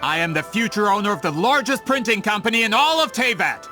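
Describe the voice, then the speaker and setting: An actor playing a scheming, vain merchant in a video game, with a theatrical, pompous, indignant manner. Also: male voice, high voice, expressive, fairly quick, pushed and bright, soft background music. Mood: villainous, boastful. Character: scheming, vain male merchant with grand business ambitions.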